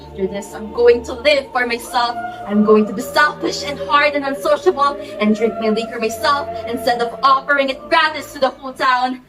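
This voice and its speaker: A woman's voice: sits high, moderate pace, few pauses, music in the background, lightly acted, low-quality recording. A teenage girl playing an imperious, easily exasperated society hostess in an amateur play, with a haughty, melodramatic delivery. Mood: indignant, dramatic. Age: teens. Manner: melodramatic, haughty, repetitive exclamations. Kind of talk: amateur stage-play acting.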